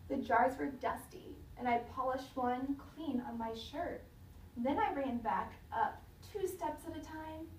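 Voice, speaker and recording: A high-voiced woman reads a children's story aloud like a teacher, with expressive, dramatic delivery. She is recorded at a distance from the mic in an echoey room, with faint noise behind her. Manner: dramatic, pausing to question listeners.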